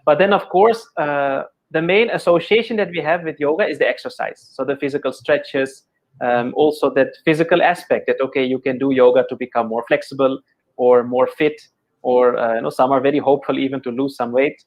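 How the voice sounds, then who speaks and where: Soft-spoken Dutch-born man with Indian accent. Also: mid-range voice, fairly even intonation, lo-fi sound. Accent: Indian English. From Netherlands. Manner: measured, gentle, many fillers and self-repeats. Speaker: yoga teacher, guest. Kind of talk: thoughtful conversational explanation.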